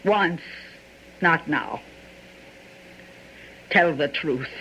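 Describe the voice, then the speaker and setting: An actress playing a bitter, jealous, hard-drinking wife in a radio mystery, with a dramatic, accusing manner. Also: female voice, low voice, extremely slow, sharp tone, slight background noise, heavily acted, rough recording. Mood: scornful, threatening. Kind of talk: old-time radio mystery acting.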